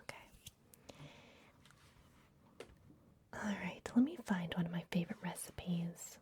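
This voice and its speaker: A woman playing a gentle, secretive vampire librarian in an ASMR fantasy roleplay, speaking in a soft, breathy, confiding whisper. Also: lowish voice, a little hiss or hum, close to an everyday voice. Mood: welcoming. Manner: gentle, hushed, confiding, unhurried.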